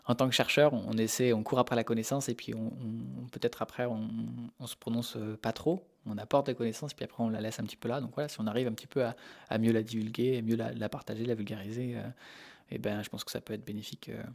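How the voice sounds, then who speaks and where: A man from Normandy speaks as an interviewed researcher. His delivery is rapid and informal, in a mid-range voice. He explains things hesitantly, often doubling back on his words. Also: mid-range voice, fairly even intonation, creaky. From Normandy, France. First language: French. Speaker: interview guest, animal behaviour researcher.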